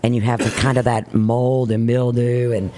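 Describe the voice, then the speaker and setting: Middle-aged woman co-host, gravelly, chatty and self-deprecating, faint room noise behind. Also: rumbling low, little pitch movement, normal speed, warm tone. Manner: chatty, self-deprecating, trails off mid-sentence. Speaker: morning show co-host. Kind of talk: casual chat. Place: small living-room style studio set, indoors.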